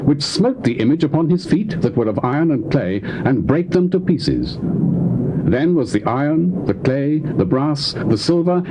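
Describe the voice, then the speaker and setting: Actor as a solemn prophet, widely swooping delivery. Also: male voice, medium pitch, close to an everyday voice. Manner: declamatory, measured, emphatic. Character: solemn male prophet interpreting a king's dream. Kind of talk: dramatized scripture reading, classical stage acting. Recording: noisy cassette-tape audio drama.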